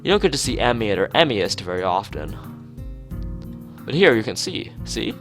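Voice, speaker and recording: A man's voice: lowish voice, sing-song, moderate pace. Guy doing a dreamy artsy young woman, very literal and musing, visual novel reading with music behind. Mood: absorbed. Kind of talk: visual novel dialogue read aloud.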